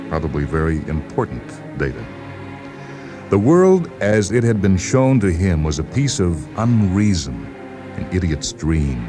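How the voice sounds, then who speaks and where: A man narrating a literary short story in a deliberate, brooding way with dramatic pauses. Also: low voice. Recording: music behind the voice. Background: music.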